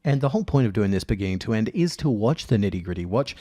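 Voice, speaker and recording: A man narrating a casual software tutorial as its presenter, thinking aloud in a halting way. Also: lowish voice, lively intonation. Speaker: audio editing tutorial presenter.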